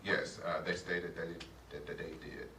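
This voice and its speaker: A very low-voiced man gives formal testimony in a public meeting room, pausing often on fillers and answering with a polite "yes, sir." He is picked up by a distant shared table mic, so the sound is echoey and noisy. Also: completely flat delivery. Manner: hesitant, many filler pauses, says "yes, sir". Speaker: school district official answering questions. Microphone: shared table mic, often off-axis.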